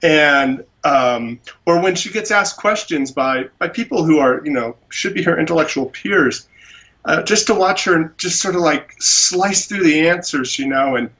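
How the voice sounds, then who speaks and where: A man's voice: on the high side, lively intonation, a little slow, fairly bright tone, poor audio. A man, an animal rights attorney, speaking as a guest in a phone interview and talking enthusiastically. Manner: enthusiastic, gushing about a speaker.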